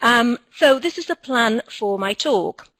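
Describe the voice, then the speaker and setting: A woman's voice: on the high side, lively intonation, a little slow, a bit bright. A woman, not a native English speaker, giving an earnest conference talk with frequent ums and self-corrections. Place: indoors, recording from Cambridge.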